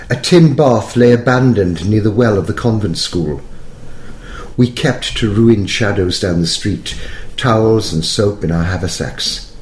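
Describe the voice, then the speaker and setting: A man takes on a posh young English officer from the First World War, reciting a poem. His accent is upper-class English and his delivery is measured, like someone telling a story. The room adds a noticeable echo. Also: medium pitch, a little mellow, lightly acted. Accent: posh English, put on. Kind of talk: poetry reading. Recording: roomy echo.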